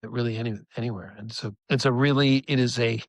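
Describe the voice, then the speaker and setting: A middle-aged man with a mid-pitched voice talks casually as an interview guest. He is enthusiastic and rambles, breaking off and restarting as he tells things. The sound is dry, with very little room in it. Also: fairly even intonation, fast talker, no room sound. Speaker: rock musician, podcast guest.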